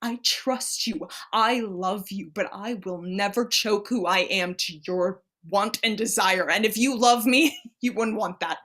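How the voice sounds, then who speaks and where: An actress playing an anguished, devout woman who pleads with and challenges God in halting, impassioned speech, in a staged play reading. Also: medium pitch. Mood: defiant, anguished. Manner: halting, impassioned, swinging between hushed and pleading.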